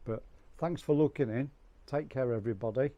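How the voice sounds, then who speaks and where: A middle-aged man with a cold, croaky and hoarse, chatting casually to camera in a rambling, friendly vlog. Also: mid-range voice, brisk, mellow, no room sound, dull audio. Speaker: vlogger.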